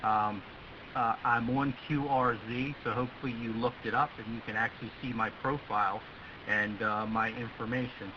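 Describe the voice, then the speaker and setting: An American man talks as a ham radio operator in a casual, wordy way. His mid-pitched voice comes over a muffled radio link with strong hiss behind it. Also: steady tone, moderate pace. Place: radio voice link, heard from the far end.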